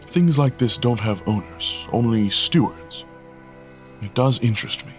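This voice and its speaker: An actor playing an aloof, not entirely human man who speaks in a measured, formal way in an audio drama. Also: mid-range voice, animated, faint music behind, natural voice. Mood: reserved. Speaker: lead character.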